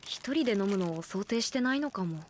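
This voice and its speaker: A woman's voice: medium pitch, lively intonation, a little fast, background noise, natural voice. An actress playing a guarded, blunt young woman in an anime audio drama, speaking politely and a little hesitantly. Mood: polite, casual. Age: young adult.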